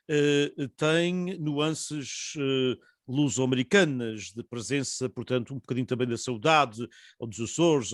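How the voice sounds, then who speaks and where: A man's voice: medium pitch, no room sound, studio quality. A man moderating a panel, asking long, winding questions full of hesitations. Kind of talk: online panel interview.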